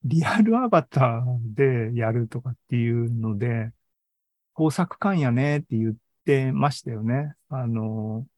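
A man presents an informal online tech talk in a mellow, relaxed voice. He thinks aloud in a conversational way and keeps tagging sentences for agreement. The sound is close and dry. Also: medium pitch, measured pace, dry recording. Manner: conversational, seeks agreement with frequent sentence-end tags. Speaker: presenter of an online AI seminar and podcast. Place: indoors, live online video meeting.

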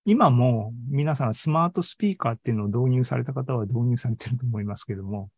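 A man presents an informal online tech talk in a mellow, relaxed voice. He thinks aloud in a conversational way and keeps tagging sentences for agreement. The sound is close and dry. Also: medium pitch, fast, slightly rough, no room sound. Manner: conversational, seeks agreement with frequent sentence-end tags. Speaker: presenter of an online AI seminar and podcast. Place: indoors, live online video meeting.

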